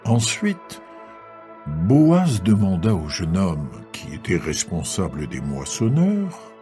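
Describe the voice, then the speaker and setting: Male scripture narrator, mellow lowish voice, measured scripted delivery, soft music bed. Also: wildly swooping pitch.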